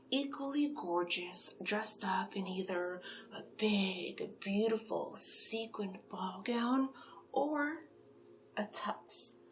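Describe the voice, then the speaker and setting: A woman playing a flattering, gossipy sphinx matchmaker in a soft-spoken, whispery ASMR roleplay. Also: medium pitch, a little hiss or hum. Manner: coaxing, flattering, chatty, whispery in places.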